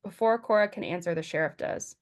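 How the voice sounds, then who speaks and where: A woman's voice: on the low side, fairly quick. A woman narrating stage directions from a script at a table read.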